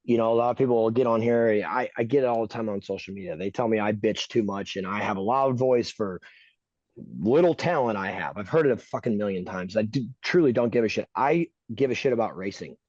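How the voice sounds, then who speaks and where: A man's voice: on the low side, a little fast, darkish tone, dry recording, dull audio. A man co-hosting a podcast, talking casually and bluntly, ranting with emphasis and frequent swearing. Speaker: podcast co-host.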